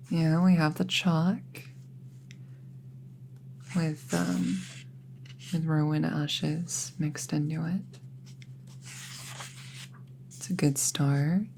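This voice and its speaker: A woman playing an earnest apprentice witch in an intimate roleplay audio drama, speaking in a hesitant, gently reassuring way. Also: low-pitched, a little hiss or hum, heavily acted, low-quality recording. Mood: muttering, checking. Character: earnest, careful apprentice witch, close friend to listener.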